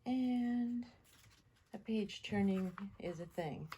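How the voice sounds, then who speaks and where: A woman reading a picture book aloud to children in an expressive, animated storytelling style. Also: medium pitch, slow, a little hiss or hum. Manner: animated, repeats sound words.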